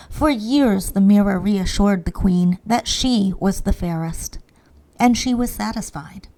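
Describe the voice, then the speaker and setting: A woman's voice: mid-range voice, creaky, mellow, low-quality recording. A woman reading a scripted fairy-tale narration as the storyteller.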